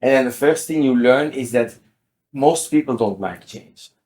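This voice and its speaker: A man's voice: mid-range voice, normal speed, darkish tone. A man in his sixties with a Belgian accent explains things in an interview, often repeating words as he goes. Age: 60s. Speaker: interview guest, company CEO.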